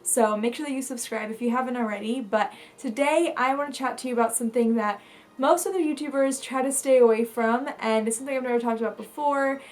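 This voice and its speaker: A woman's voice: fairly high pitch, fast, darkish tone. A young woman from Bulgaria, a lifestyle vlogger talking casually to camera, chatty, with lots of fillers and restarts.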